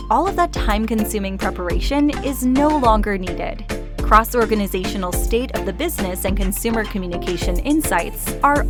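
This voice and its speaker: Woman reading a promo over music. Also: fairly high pitch. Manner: smooth, polished read. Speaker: ad narrator.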